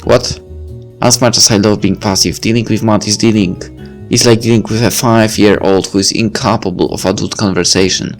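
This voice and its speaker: Low-voiced young man reading a game aloud. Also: fairly even intonation, moderate pace, soft background music. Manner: playful, breaks off to joke and comment. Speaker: let's-play reader. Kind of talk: reading a visual novel aloud with asides.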